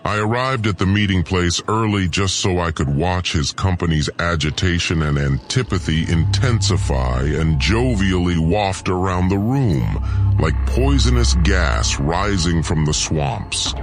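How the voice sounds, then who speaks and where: A man voicing an arrogant, scheming alien prince, pompous and sneering, narrating his own audio drama. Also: deep voice. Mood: scornful, gloating.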